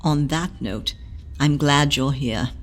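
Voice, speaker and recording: A woman playing an elderly matron healer who is blunt, motherly and teasing, and who talks in a slow, dry, scolding way. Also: sits low. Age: older. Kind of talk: audio drama acting.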